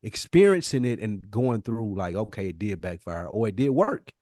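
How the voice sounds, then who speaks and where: A man's voice: lowish voice, big pitch swings, normal speed, mellow, dry recording, studio quality. A man co-hosting a podcast in casual discussion, emphatic and repeating phrases for stress. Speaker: podcast co-host.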